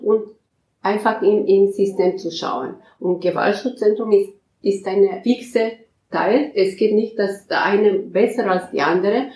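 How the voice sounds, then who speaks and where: A woman counselor answering interview questions in a halting way, often searching for words and restarting sentences. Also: mid-range voice, mellow, echoey room, poor audio. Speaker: counselor at a migrant women's advice center.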